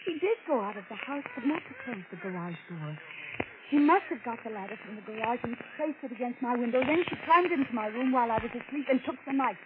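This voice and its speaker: An actress playing a distraught, pleading wife in a 1940s radio mystery, earnest, halting and melodramatic. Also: female voice, a little high, expressive, lightly acted. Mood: desperate. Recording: noisy, tinny old radio broadcast.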